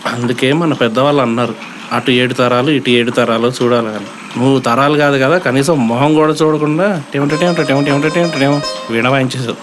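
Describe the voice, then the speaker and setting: A man's voice: medium pitch, fairly even intonation, fairly quick, faint music behind, close to an everyday voice. A man playing a teasing, scheming young college buddy in a comedy audio drama, with playful, mocking slang. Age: young adult.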